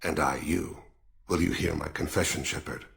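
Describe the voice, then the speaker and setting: An actor playing a weary, reflective alien assassin in a video game, with a gravelly voice and formal, courteous, philosophical delivery. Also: male voice, a bass voice, fairly even intonation, normal speed, a bit bright, full character voice. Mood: courteous, intimate. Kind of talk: dramatic video game dialogue.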